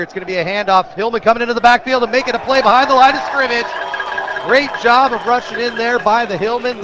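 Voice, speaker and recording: Man, American accent, high voice, live football play-by-play announcer, stadium crowd behind him. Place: stadium press booth. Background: crowd at an outdoor stadium.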